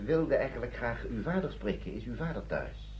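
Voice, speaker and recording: A man's voice: medium pitch, big pitch swings, moderate pace, crowd noise, lightly acted. An actor in a radio play as a kindly older man looking after children, fussing and hesitant, often repeating himself. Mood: polite, hesitant. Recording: dull, noisy old radio play recording.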